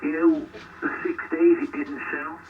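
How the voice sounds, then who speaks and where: A man's voice: a little high, completely flat delivery, unhurried. A man coming through a shortwave radio transmission, an amateur operator chatting casually in a rambling, enthusiastic way. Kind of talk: casual chat over ham radio. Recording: noisy narrowband shortwave radio, distant.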